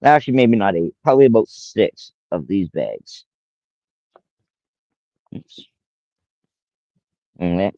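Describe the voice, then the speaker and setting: A man's voice: fairly low pitch, big pitch swings, slow pace, pauses often, warm tone, dry recording. Man, 40s, rambling livestreamer who repeats himself; dead dry, muffled lo-fi sound. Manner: rambling, addresses a viewer by name, repeats himself. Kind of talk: casual livestream talk.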